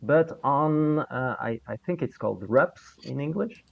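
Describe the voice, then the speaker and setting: A man's voice: mid-range voice, expressive, measured pace, creaky. Man, muffled call audio. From Belgium. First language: French. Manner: hesitant, searches for English words. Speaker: guest. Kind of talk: casual chat on a video call.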